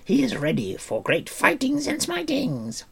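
A man voicing an excitable, boastful small male creature in a storybook, eagerly exclaiming in childlike phrases. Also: extremely high pitch, measured pace, gravelly, slightly rough, voice pushed up, exaggerated voice. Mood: excited, boastful. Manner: exclaiming, rhyming baby-talk phrasing.

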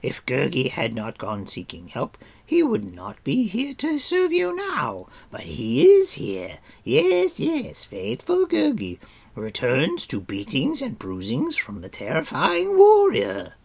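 A man's voice: sits high, wildly swooping pitch, slow pace, vocal fry, darkish tone, breathy edge, voice pushed up, full character voice. Man voicing a whimpering, swooping wild creature. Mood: grovelling, fawning. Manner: speaks of himself in third person, fawning. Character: whimpering, cringing, wild creature, servile and self-pitying. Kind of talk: audiobook character voice.

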